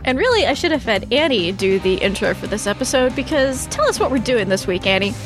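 A woman's voice: a little high, sing-song, a little fast, over music. A woman hosting a fan podcast in casual chat, with a playful, teasing manner. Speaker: podcast host.

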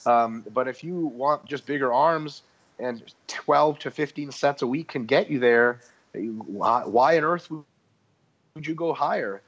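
A man's voice: medium pitch, unhurried, a bit bright, lo-fi sound. A man explaining muscle training in a casual podcast discussion, talking through his points with frequent ums and restarts. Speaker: guest expert.

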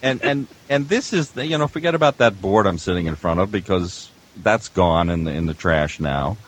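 Deep-voiced older man, halting and rambling. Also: expressive, slight background noise. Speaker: veteran audio producer and teacher. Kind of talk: casual panel discussion of audio production.

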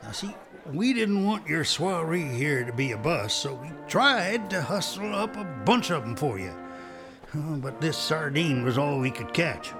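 A man's voice: fairly high pitch, big pitch swings, measured pace, over music, a crowd in the background. An actor playing an apologetic, eager-to-please frontier townsman with a rustic American drawl in a Western radio drama. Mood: sheepish.

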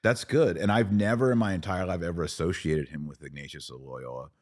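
Very deep-voiced man in conversation. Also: brisk, a little mellow. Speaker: podcast host. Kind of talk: casual podcast conversation.

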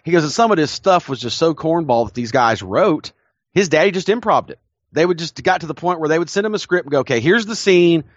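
A man's voice: a little high, lively intonation, brisk, no room sound. A man co-hosting a podcast, telling stories with enthusiasm, often restarting his sentences and laughing. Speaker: podcast co-host. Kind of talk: casual chat. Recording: dull lo-fi dry recording.

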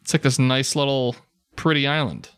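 A young American man co-hosting a podcast with casual comedic banter, slangy, profane and animated in delivery. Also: medium pitch, normal speed, clear, resonant tone, dry recording, studio quality. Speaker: podcast co-host.

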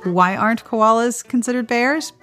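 A woman's voice: on the high side, normal speed, a bit bright, soft background music. A woman hosting a podcast, reading show notes in a playful, enthusiastic way with conversational asides. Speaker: podcast host. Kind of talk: chatty scripted podcast outro.

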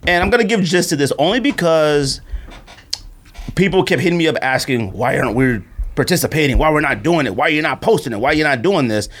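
Animated, higher-pitched man chatting on a podcast. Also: a little fast. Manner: slangy, animated storytelling, acting out quotes. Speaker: podcast co-host. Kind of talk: casual podcast chat and storytelling.

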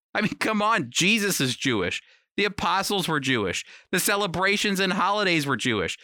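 Bright, punchy male Bible teacher. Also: fairly high pitch, no echo, studio quality. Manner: emphatic, direct address with rhetorical questions. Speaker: Bible teacher and program host. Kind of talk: conversational teaching sermon, prepared radio talk.

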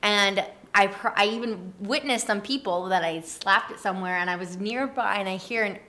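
A woman with a mid-range voice talks as a guest in a loose group conversation. She is chatty and informal, with a playful, animated delivery that sounds like friends swapping stories. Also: mid-range voice, moderate pace. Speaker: guest on a talk show. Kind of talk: casual, animated group chat.

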